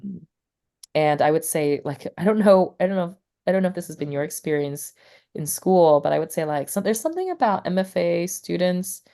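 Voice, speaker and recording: Woman, low pitch, casual interview guest, rambling, lots of fillers. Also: expressive, brisk, dark tone. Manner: rambling, many fillers like "like" and "you know". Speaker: poet and teacher, interview guest.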